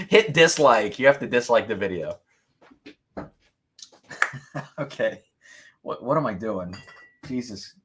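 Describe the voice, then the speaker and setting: A man hosting a whiskey-tasting livestream, talking loudly and excitedly, laughing often and reading viewer comments aloud into a boom mic. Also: takes it slowly, long pauses, a little hiss or hum. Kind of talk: casual live-stream chat. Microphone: boom mic moved back from mouth.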